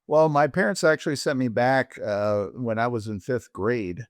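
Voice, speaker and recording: A man in his sixties or older who grew up in Colombia, telling his own story conversationally in a halting way. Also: medium pitch, no echo, clean studio sound. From Colombia, raised by American parents. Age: 60s or older. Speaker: interview guest, orthopedic surgeon.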